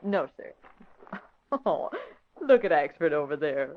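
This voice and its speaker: An eager, deferential young secretary in a vintage radio play. Her pitch moves about lively, and the sound is dull. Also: a little slow. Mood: shy, eager. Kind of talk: old-time radio drama acting.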